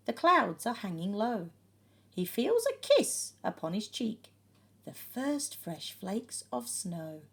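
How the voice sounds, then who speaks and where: A woman reads a children's picture book aloud with a very lively, swooping pitch. She takes her time, pausing for drama and leaning on key words so the story is easy to follow. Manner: animated, with dramatic pauses and emphasis. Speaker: storybook reader.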